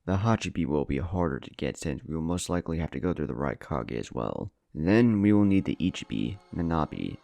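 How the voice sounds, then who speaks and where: A man's voice: extremely low pitch, expressive, brisk, vocal fry, hardly any pauses, dark tone, no echo, heavily acted. A man narrating a storybook as a cold, neutral, menacing male villain who thinks himself a god. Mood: cold, businesslike.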